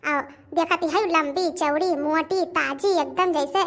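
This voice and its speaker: A woman's voice: sits high, steady tone, measured pace, fairly bright tone. A woman voicing village women of a household in a cartoon family comedy, chatting repetitively in a rustic Awadhi accent. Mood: admiring, gossipy. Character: village women of a household, gossiping, admiring and grumbling in-laws.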